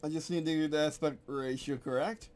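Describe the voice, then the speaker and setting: A man's voice: on the high side, fairly even intonation, brisk, darkish tone. A man casually thinking aloud at his computer as he reworks his game rules, rambling and correcting himself as he goes. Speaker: game designer streaming his work.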